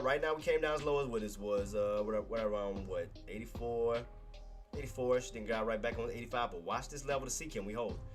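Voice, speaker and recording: A man with a congested nose hosting a live trading stream, casually walking through chart levels and repeating key points to his chat. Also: mid-range voice. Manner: casual, repetitive, talks to chat as "boss". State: nasal congestion. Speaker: trading livestream host. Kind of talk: live stock chart commentary.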